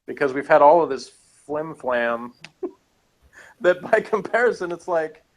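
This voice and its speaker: A man's voice: a little high, expressive, a little slow. Man rambling through an opinionated casual review. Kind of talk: casual chat, episode review.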